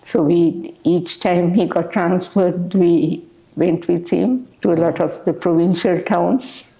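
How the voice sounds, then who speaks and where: Mellow-voiced older woman from Sri Lanka. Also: fairly low pitch, slow, creaky, poor audio. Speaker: guest. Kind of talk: casual interview. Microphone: podcast studio mic.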